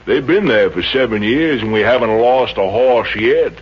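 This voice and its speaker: A man's voice: mid-range voice, moderate pace, close to an everyday voice. Actor as a folksy, jovial Texan good old boy. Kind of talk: 1950s radio comedy acting. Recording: dull, hissy old radio recording.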